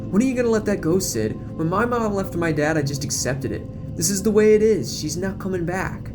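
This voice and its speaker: A man's voice: high voice, animated, a little fast, pitched above the performer's own voice. A man voicing a frustrated, pushy teenage boyfriend in an audio drama, insistent and exasperated, with music behind. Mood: impatient.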